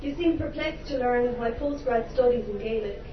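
A higher-voiced Irish woman performs spoken word poetry with theatrical passion and dry humorous asides. She sounds distant from the microphone in a roomy venue. The recording is dull and carries steady background noise. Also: normal speed. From Ireland. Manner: impassioned, theatrical delivery with wry humorous asides. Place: live venue before an audience.

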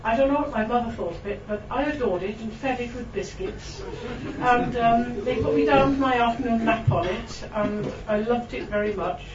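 A woman's voice: fairly high pitch, crowd noise. Welsh woman poet in a roomy hall. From Wales. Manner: chatty and self-mocking, with frequent ums and laughs; slow, lingering delivery when reading verse. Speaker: poet giving a reading. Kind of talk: poetry reading with chatty asides. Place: room with a live audience. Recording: distant, roomy, dull recording with background noise.

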